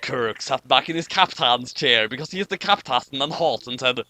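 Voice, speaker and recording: A man's voice: mid-range voice, animated, normal speed, sharp tone, a little hiss or hum. A man reading a story aloud for comedy, with casual commentary in between. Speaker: reader and commentator. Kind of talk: comic dramatic reading with casual chat.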